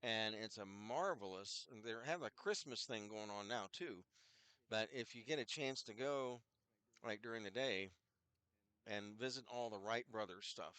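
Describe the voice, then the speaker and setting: A man's voice: on the low side, normal speed, pushed and bright, clean tone, no room sound. An older man with a head cold and scratchy throat, chatting casually as a hockey announcer between plays. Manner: rambling, chatty, self-deprecating asides. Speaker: play-by-play announcer. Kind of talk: casual hockey broadcast commentary.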